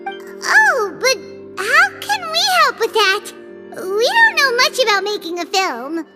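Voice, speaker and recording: A woman voicing a tiny, eager, chatty childlike fairy companion in a video game, squeaky and speaking of herself in the third person. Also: very animated, takes it slowly, exaggerated voice. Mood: puzzled. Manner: refers to self in third person. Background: game music.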